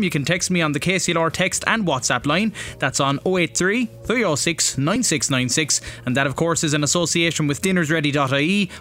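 A man's voice: on the high side, a bit bright. An Irish man presenting a radio show as host, reading from a prepared script.

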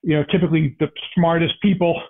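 A man's voice: a little high, fairly even intonation, quick pace. A man interviewing a guest, asking long, rambling, searching questions. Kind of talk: casual interview. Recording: phone-like narrow band.

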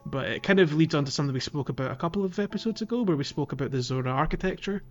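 A man's voice: fairly high pitch, animated, rapid-fire, lo-fi sound, soft background music. A man co-hosting a casual gaming podcast, talking in a loose, rambling way with lots of fillers and restarts. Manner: rambling, hesitant with many fillers. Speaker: podcast co-host.

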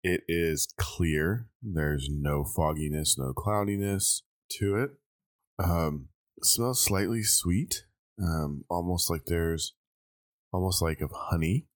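Congested man, extremely low pitch, dry close sound, casual taster who ums a lot. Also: slow, no echo. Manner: thinking aloud with many ums. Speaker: host tasting liquor blind. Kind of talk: casual tasting chat.

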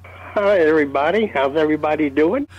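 A man giving a casual update on a club call, easygoing and rambling, with hesitant pauses. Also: fairly high pitch. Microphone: remote call connection. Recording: muffled, dull call audio.

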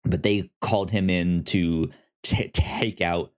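A man co-hosting in a casual chat, speaking haltingly with stammered, repeated word starts. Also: deep voice, steady tone, clean tone. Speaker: podcast co-host discussing films.

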